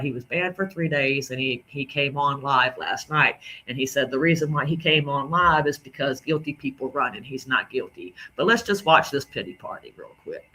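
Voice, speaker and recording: A man's voice: a little high, bright, forceful tone, rough recording. A man from the Southern United States with a Southern drawl, rambling indignantly through casual commentary on clips and repeating himself. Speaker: commentary creator.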